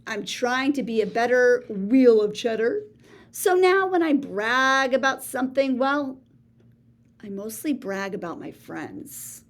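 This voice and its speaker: A woman reading a children's picture book aloud, expressive, with gasps and hushed asides to her listeners. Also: high-pitched. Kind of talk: read-aloud storytelling for children.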